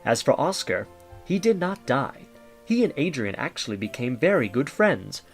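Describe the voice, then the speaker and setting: A man narrating a holiday audio drama as a kindly storyteller, in a measured, grandiose, theatrical way. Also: mid-range voice, sing-song, vocal fry, faint music behind. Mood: warm storytelling.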